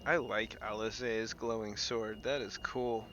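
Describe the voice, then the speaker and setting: A man's voice: lowish voice, flat delivery, unhurried, bright, forceful tone, lo-fi sound. A man giving casual live commentary as a game streamer. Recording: game music behind voice. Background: game music.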